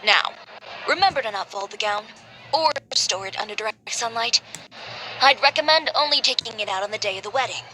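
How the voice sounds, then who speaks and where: A woman playing a confident, brisk dressmaker in a video game, self-assured and matter-of-fact. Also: a little high, expressive, lightly acted. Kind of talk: video game character acting. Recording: clear noise behind the voice.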